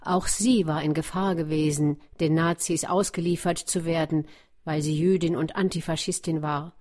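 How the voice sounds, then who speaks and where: Low-pitched woman narrator. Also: fairly even intonation, normal speed, a little mellow. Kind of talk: scripted documentary narration.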